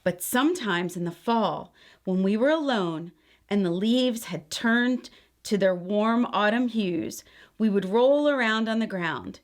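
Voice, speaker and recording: A woman's voice: medium pitch, lightly acted. Woman reading as a patient, reflective storybook grandmother.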